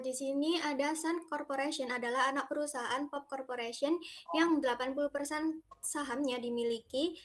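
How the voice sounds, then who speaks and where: A young woman reads through a slide presentation as a student presenter. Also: high-pitched. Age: young adult.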